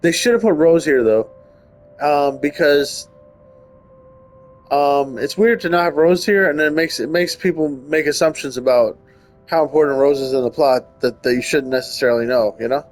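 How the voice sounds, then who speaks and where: Higher-voiced man reading a visual novel aloud. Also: normal speed, soft background music. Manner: nitpicking, wry asides. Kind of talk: reading narration aloud with casual riffing commentary.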